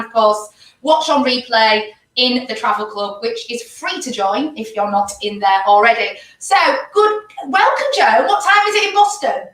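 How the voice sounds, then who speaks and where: Enthusiastic female host, bright voice, roomy and off mic. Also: on the high side, recorded from a distance. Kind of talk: live online show chat.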